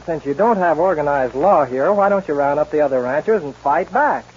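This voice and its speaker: A man's voice: on the high side, lively intonation, a little fast, slightly rough. An actor playing a polite, self-assured young American son in a 1940s radio western, formal and courteous in his wording. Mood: confident. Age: young adult. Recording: noisy muffled 1940s radio recording.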